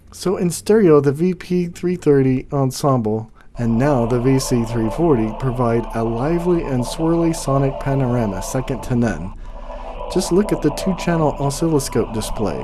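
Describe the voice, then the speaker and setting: A man's voice: mid-range voice, mellow, slight background noise. A man hosting a product review, reading scripted narration with casual, folksy phrasing. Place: home studio.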